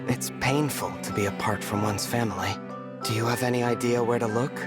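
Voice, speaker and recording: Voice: mid-range voice, fairly even intonation, fairly quick, loud music behind the voice, natural voice. An actor voicing an earnest, kind young dragon royal in a video game cutscene. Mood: gentle, caring.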